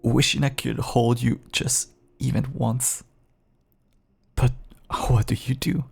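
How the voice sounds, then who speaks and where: A man playing a caged feral vampire who pleads clingily and bitterly with an estranged partner in an intimate dramatic audio roleplay, his voice husky and gravelly. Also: medium pitch, level tone, slow pace, full character voice. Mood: yearning, bitter. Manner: clingy, pleading, swinging from hushed endearments to bitter outbursts.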